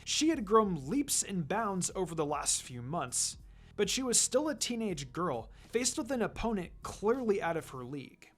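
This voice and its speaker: A man's voice: high-pitched, sing-song, normal speed. A man reading fan fiction aloud as a scripted story narrator. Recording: music behind the reading.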